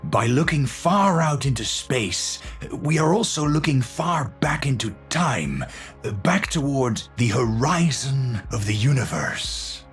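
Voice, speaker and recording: An actor voicing a brilliant, tormented scientist in a video game, with a Dutch accent and a measured, authoritative manner that shifts between gentle and intense. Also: male voice, mid-range voice, sing-song, soft background music. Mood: awed, reflective. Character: Sigma, brilliant tormented scientist, kindly authoritative, unstable, given to bad jokes.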